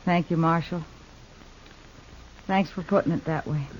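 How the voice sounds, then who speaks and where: A woman's voice: low voice, fairly even intonation, unhurried, slight background noise. Proud, wounded frontier woman. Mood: hurt, resolute. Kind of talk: 1950s radio western acting. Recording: dull old 1950s radio recording.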